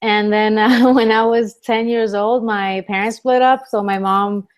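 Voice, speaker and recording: A woman's voice: medium pitch, steady tone, unhurried, clean tone. A woman from the Bronx and Puerto Rico chatting casually and giggly as an interview guest. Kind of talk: casual chat.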